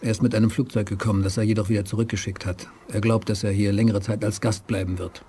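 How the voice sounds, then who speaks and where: A man's voice: lowish voice, level tone, fast talker, mellow, slight background noise, close to an everyday voice. An actor playing a formal, deferential male military aide making a report in a 1980s German radio play. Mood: dutiful.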